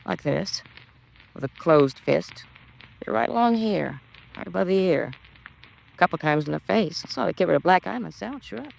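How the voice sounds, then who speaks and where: A woman's voice: on the low side, lively intonation, normal speed, gravelly, long pauses, darkish tone, slight background noise, rough recording. An actress playing a nosy, gossipy, disapproving landlady in a 1950s radio crime drama, with folksy, chatty colloquial speech. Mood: confiding.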